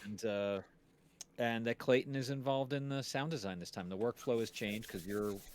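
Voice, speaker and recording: A man's voice: mid-range voice, normal speed, a little hiss or hum. A man co-hosting a casual live stream, chatting with dry, teasing humor. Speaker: podcast stream co-host.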